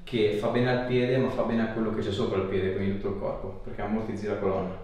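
Low-voiced male chiropractor in an echoey room. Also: little pitch movement, fast talker, darkish tone. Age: 30s. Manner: reassuring, encouraging. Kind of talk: hands-on exam talk, short instructions to a patient. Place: small clinic treatment room. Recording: very echoey small room, faint noise, clicks.

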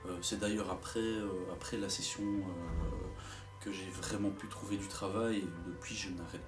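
A young man talks candidly in a low voice, recorded from a distance in a roomy space. Guitar music plays clearly behind him. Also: steady tone, normal speed. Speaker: former trainee. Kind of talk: documentary interview.

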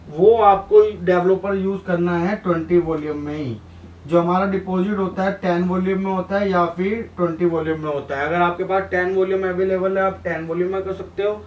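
A young man giving an informal, instructive tutorial, addressing viewers directly and mixing in English terms. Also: high-pitched. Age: young adult. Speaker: hair colour instructor and video host. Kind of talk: informal tutorial explanation at a whiteboard. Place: small indoor room beside a whiteboard. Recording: roomy echo, distant mic, background noise.

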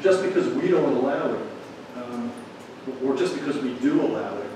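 A man's voice: medium pitch, animated, moderate pace, recorded from a distance. Man in a very echoey, noisy meeting room. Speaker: town official advising a board. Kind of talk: explanatory talk at a public meeting. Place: large meeting room, distant camera.

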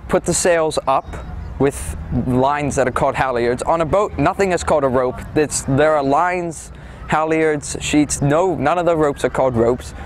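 A man's voice: on the high side, normal speed, slight background noise. A teenage boy, a student sailor, explaining the sport in a matter-of-fact way outdoors on a sports field. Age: teens. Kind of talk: interview answers.

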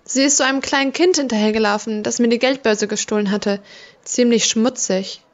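A woman's voice: a little high, a little fast, highs cut off, natural voice. A woman playing a worried, polite mother searching for her daughter in a German audio drama.

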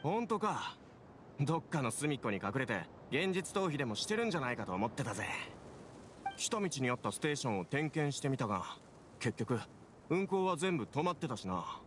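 A man voicing a brusque young railway caretaker in a Japanese anime game, speaking in a rough, casual, animated way. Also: mid-range voice, fairly quick, slight background noise. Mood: skeptical. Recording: music behind the voice.

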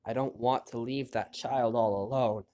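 A man with a stuffy nose hosting a gameplay video, chatting casually and reading the on-screen story text aloud in a quiet, low voice. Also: steady tone, moderate pace. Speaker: let's play host.

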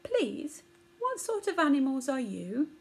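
A woman's voice: high-pitched, big pitch swings, measured pace, creaky, pitched above the performer's own voice, exaggerated voice. A woman voicing a curious, puzzled newborn boy elephant calf in a storybook, with an innocent, questioning manner.

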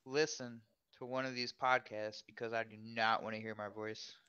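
A man's voice: lowish voice, little pitch movement, moderate pace, pushed and bright, smooth, no echo, muffled recording. A man co-hosting a comedy podcast, chiming in with dry, jokey quips. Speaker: podcast co-host. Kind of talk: casual comedy chat.

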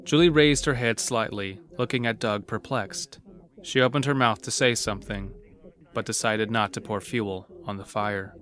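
A man narrating a dark horror audio drama as its storyteller. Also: on the low side, moderate pace, natural voice. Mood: grave storytelling.

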